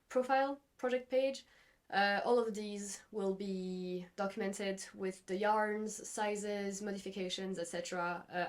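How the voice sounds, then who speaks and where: A young woman from Belgium hosting a knitting podcast from her craft room at home, chatting casually and rambling with lots of fillers and restarts. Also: medium pitch.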